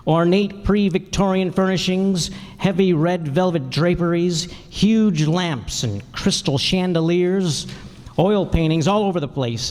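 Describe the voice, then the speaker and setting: An actor playing a businesslike insurance investigator in old-time radio detective style, matter-of-fact, clipped and inquisitive. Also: male voice, on the high side. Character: brisk, businesslike male insurance investigator narrating his case. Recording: roomy hall with long reverb.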